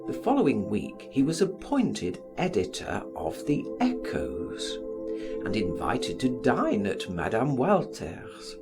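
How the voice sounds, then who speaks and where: A man reading an audiobook in an expressive, theatrical and measured literary style. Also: mid-range voice. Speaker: audiobook reader. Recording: music behind the voice. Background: music.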